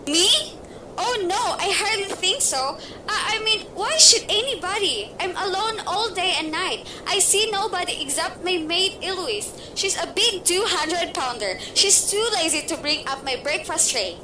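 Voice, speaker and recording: A woman's voice: very high voice, animated. A woman playing a nervous, bedridden wife who frets, complains and panics in an amateur radio play. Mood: fretful. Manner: fretful, complaining, quickly panicky. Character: nervous bedridden rich wife, shrill and demanding. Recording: noisy amateur recording.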